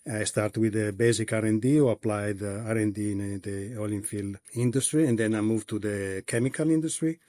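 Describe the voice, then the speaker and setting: A man from Italy with an Italian accent, answering interview questions as a company executive in a halting way with many pauses and restarts. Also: lowish voice, warm tone, no echo, clean studio sound.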